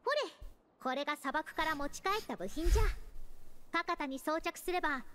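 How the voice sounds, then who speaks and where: A woman's voice: sits high, expressive, fast talker, sharp tone. A woman voicing a proud, youthful anime scholar who talks like an old sage with archaic, self-assured phrasing. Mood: brisk, self-assured. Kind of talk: Japanese anime game acting.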